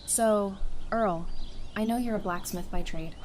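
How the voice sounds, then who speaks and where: A woman's voice: medium pitch, unhurried, darkish tone, slight background noise, close to an everyday voice. A woman playing an easygoing, friendly and sincere survivor in an audio drama. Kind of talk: fan audio drama acting.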